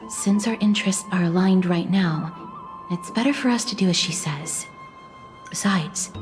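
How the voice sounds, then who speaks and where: A woman acting a slow, courteous, guarded heroine. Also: lowish voice, darkish tone, lightly acted. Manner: formal, careful, courteous. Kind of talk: video game voice acting.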